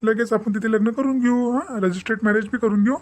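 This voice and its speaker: A man's voice: high voice, fairly even intonation, fast, darkish tone, close to an everyday voice. A man voicing a pushy, glib young village boyfriend in a cartoon, with a Vidarbha Marathi accent. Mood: coaxing. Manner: coaxing, glib. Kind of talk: amateur cartoon dialogue.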